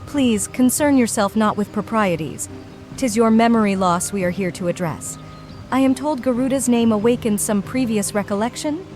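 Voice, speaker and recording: An actress voicing a serene, dignified healer and leader in a video game, speaking archaic, formal English. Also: female voice, a little high, moderate pace, a little mellow, natural voice. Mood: gracious, composed. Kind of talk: scripted video game voice acting. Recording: studio voice under game music.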